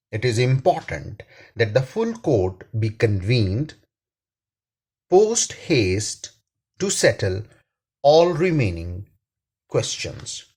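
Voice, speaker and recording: A man with a Pakistani accent reading a written editorial aloud in a formal, deliberate manner. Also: mid-range voice, a little mellow, husky. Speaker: reader, attorney and law professor. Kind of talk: scripted read-aloud of a newspaper editorial.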